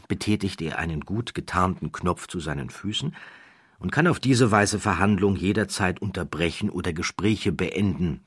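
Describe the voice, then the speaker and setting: Male audiobook narrator: low, slightly husky, measured and dramatic, recorded dry. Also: no echo. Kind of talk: scripted narration of a historical true story.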